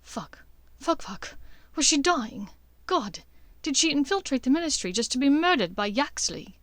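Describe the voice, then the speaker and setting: A lovesick young wizard, read by a woman, frets with urgent intensity. The voice is fairly high for the part and sounds dry and close, in audiobook style. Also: very animated, moderate pace, a bit bright, no echo. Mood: alarmed.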